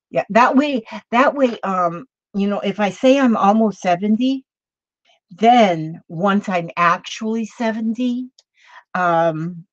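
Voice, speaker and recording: A woman's voice: mid-range voice, no echo. Chatty woman in her late sixties. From Minnesota. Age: 60s. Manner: chatty and rambling, with many fillers and restarts. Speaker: crafting video host. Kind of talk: casual chat with live viewers while crafting. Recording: dull, slightly lo-fi sound.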